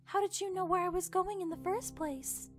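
A woman voicing a gentle, shy, earnest young pony in an animated fan drama, soft-spoken and hesitant. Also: very high-pitched, normal speed, faint music behind.

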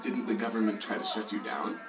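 A man's voice: fairly low pitch, expressive, a little fast. A man acting as a serious, probing interviewer in an audio drama, narrating and putting questions. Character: serious, probing male interviewer documenting a catastrophe. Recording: roomy echo, faint music.

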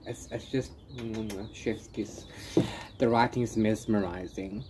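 A man's voice: lowish voice, warm tone. A man chatting casually in a book review vlog, rambling and trailing off into repeated filler phrases. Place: open-sided corrugated-iron shelter outdoors. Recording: clear background noise, open-air ambience.

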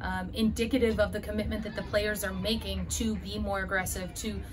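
A woman's voice: mid-range voice, flat delivery, fairly quick. Woman coach in her 30s, postgame interview, earnest with fillers, indoor hallway, camera close. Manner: earnest, fillers and restarts, gestures while talking. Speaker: head softball coach.

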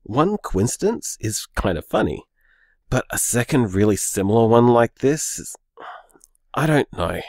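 A man's voice: medium pitch, very animated, measured pace, gravelly, no room sound, clean studio sound, heavily acted. A man playing an easygoing, sceptical young boyfriend in a naturalistic audio drama, conversational and hesitant. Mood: bewildered, unsure. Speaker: podcast drama actor.

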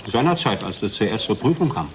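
Low-voiced, touchy lab chemist. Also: male voice, sing-song, a little fast. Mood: irked. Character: proud, easily irked male lab chemist. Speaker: laboratory chemist character. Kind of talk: German radio drama acting. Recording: clear background noise.

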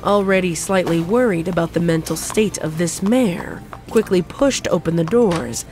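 A woman's voice: fairly low pitch, normal speed. A woman narrating a scripted comedic story as a storyteller. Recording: faint music behind the voice.

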